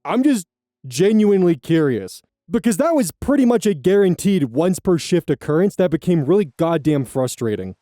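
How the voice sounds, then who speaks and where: Animated, mellow-voiced male story reader. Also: fairly high pitch, normal speed, dry recording, studio quality. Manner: animated, blunt and profane in asides. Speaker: host reading Reddit stories. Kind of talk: story reading with casual commentary.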